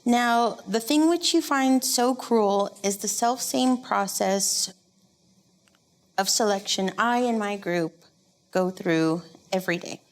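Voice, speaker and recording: A woman acting as an earnest female drama student in a comedy. She recites at a middle pitch, deliberate and stagey, like a classroom monologue. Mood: earnest, solemn.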